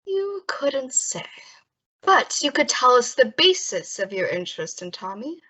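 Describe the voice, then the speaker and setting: A woman's voice: sits high, big pitch swings, measured pace, bright, forceful tone, husky, full character voice. A woman playing a smug, menacing male nightclub gangster boss in old-time radio style, mock-polite and taunting. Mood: quietly menacing. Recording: dull lo-fi audio.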